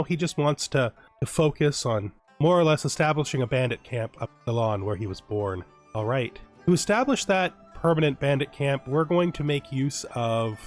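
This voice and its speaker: Rambling man narrating a strategy game. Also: mid-range voice. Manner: rambling, thinking aloud with frequent restarts. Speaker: gaming YouTuber. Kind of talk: relaxed let's play commentary.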